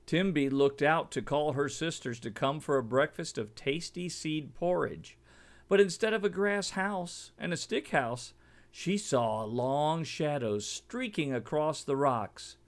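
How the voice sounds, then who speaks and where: A man reading a children's story aloud in an expressive, deliberate storytelling manner. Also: a little high. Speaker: storybook reader.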